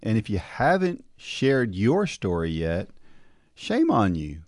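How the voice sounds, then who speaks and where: Man, podcast host, lowish voice, dry close sound, casual and encouraging, loose rambling phrasing. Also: huge pitch swings, slow, a little mellow, no echo. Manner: chatty, encouraging, with frequent restarts and "right?" tags. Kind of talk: casual chat.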